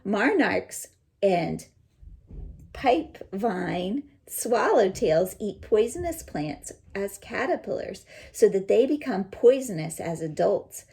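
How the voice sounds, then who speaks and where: A woman teacher reading a children's picture book aloud and pausing to explain it in simple words. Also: medium pitch, sing-song, gravelly, a little mellow.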